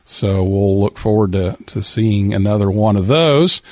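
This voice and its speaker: Man, radio show host, casual halting talk, reading listener messages, very dry sound. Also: lowish voice, darkish tone, dry recording.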